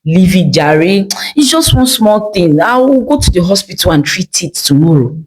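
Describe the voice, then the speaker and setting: A woman's voice: on the low side, sing-song, normal speed, slightly rough. A woman storyteller voicing a stubborn, laid-back young tailor woman who casually brushes everyone off. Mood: dismissive. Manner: casual, dismissive reassurances. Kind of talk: storytelling character voice.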